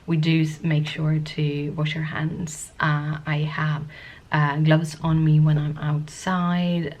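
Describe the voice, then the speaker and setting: A woman vlogger with a sniffly cold, chatting to the camera in a soft-spoken, rambling, hesitant way. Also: fairly low pitch. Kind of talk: casual talking-to-camera vlog.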